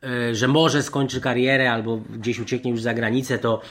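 A man talking through football opinions as a podcast panellist, in a halting, digressive way with many self-corrections. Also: mid-range voice, level tone, fairly bright tone. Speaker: sports journalist and podcast panellist. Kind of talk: animated football discussion.

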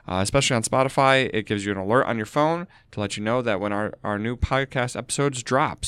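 A man hosting a local podcast, reading announcements in an upbeat promotional way, his voice a little gravelly from a sore throat. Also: lowish voice, dry recording. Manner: upbeat, promotional, frequent ums. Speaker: podcast host. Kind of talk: casual podcast chat and read announcements.

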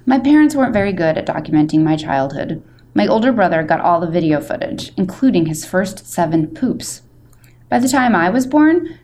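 A woman's voice: on the low side, a little mellow. A woman reading as a short, wisecracking eighth-grade boy, chatty, theatrical and self-deprecating, in a storybook read-aloud. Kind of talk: expressive first-person audiobook-style reading. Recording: faint background noise.

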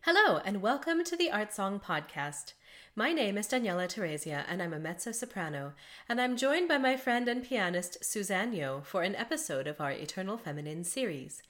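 A woman's voice: mid-range voice, very animated, moderate pace, a bit bright. Chatty woman podcast host with laughter. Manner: chatty, laughs often between facts. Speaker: podcast host and mezzo-soprano. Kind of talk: conversational podcast storytelling.

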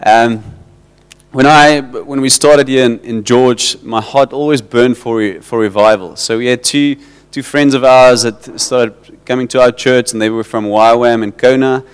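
A South African man preaching to his church in an informal, halting way, repeating words and slipping into Afrikaans. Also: mid-range voice, fairly even intonation, clean tone. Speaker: pastor. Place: church hall. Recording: long hall reverb.

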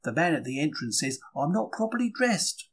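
A man voices a young working-class bookseller of the 1930s telling his diary. His voice is fairly high and rough-edged. He is chatty and plucky, wry and quick to take things to heart, with emphatic, colloquial phrasing. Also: sing-song. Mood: matter-of-fact, indignant. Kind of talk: dramatic first-person diary reading.

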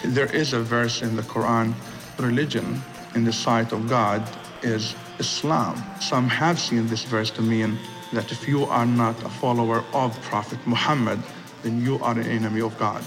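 A man answering in an explanatory interview style, halting at first and building in short clauses. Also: mid-range voice, steady tone. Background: music.

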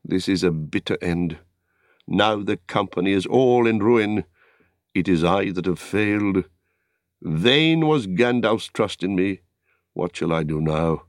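A noble fantasy warrior, voiced by a male narrator, deep in pitch and unhurried. He declaims in solemn, archaic phrases with an anguished weight, as in an epic audiobook, close and dry. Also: dry recording, lightly acted.

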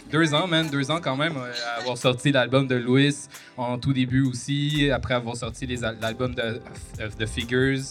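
A man's voice: medium pitch, steady tone, normal speed, few pauses, music in the background. A man who grew up in Montreal, a record label founder, speaking in a friendly, grateful way and rambling a little. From Montreal, Canada. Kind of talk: casual podcast chat.

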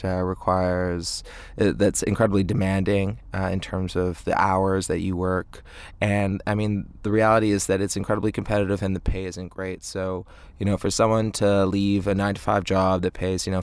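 A man in his late twenties chatting casually as an interview guest, thoughtful and halting in his phrasing. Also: low-pitched, clean tone, no room sound. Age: late 20s. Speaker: indie band frontman, interview guest.

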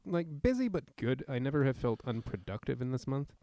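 A man's voice: medium pitch, big pitch swings, fairly quick, warm tone, dry recording. A young adult man co-hosting a podcast, tossing wry, joking asides into casual talk. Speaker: podcast co-host. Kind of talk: casual banter.

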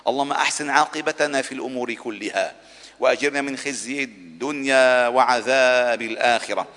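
A man's voice: medium pitch, brisk, fairly bright tone. A man delivering a solemn sermon and supplication as a mosque preacher, with a formal, rhetorical cadence. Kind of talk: Arabic Friday sermon and supplication. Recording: long echoing reverb of a large hall.

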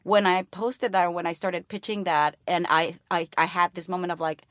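Woman, Spanish native speaker, earnest, restarts sentences, lower voice, dry mic. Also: steady tone, moderate pace, fairly bright tone, no room sound. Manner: earnest, searching, repeats and restarts phrases. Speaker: podcast co-host. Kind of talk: casual chat.

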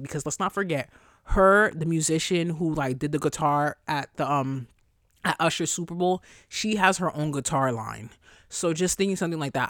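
A young woman hosting a solo podcast in a casual, chatty way, blunt and profane, her voice jumping high when she gets excited. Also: dry recording, clean studio sound. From New York area. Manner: profane, blunt asides to listeners. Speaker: podcast host.

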